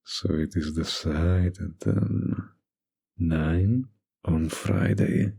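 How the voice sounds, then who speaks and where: Man playing a slow, husky flirty mafia boss. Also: deep voice, steady tone, mellow, exaggerated voice. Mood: commanding, flirty.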